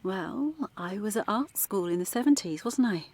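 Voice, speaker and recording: An actress playing a middle-aged former activist turned art teacher from London, talking softly late at night in a radio drama. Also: female voice, medium pitch, lively intonation, moderate pace. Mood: reflective. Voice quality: soft, hushed late-night talk. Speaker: character in audio drama.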